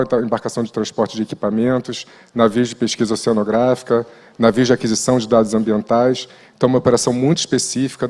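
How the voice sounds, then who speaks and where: A man speaks on a conference panel in a steady, explanatory tone, his pitch staying quite level. His voice is mid-pitched. A long hall reverb trails his words. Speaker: company director panelist. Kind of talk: panel presentation.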